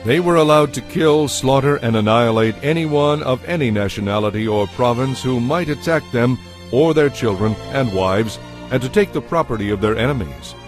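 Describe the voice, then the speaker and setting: A man narrating a dramatized audio Bible in a measured, formal storytelling manner. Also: mid-range voice, faint music behind.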